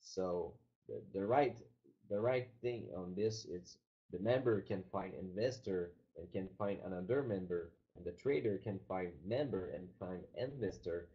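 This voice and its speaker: A man from Quebec whose first language is French, presenting a business webinar in halting speech with many fillers and restarts. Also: sits low, muffled recording. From Quebec, Canada.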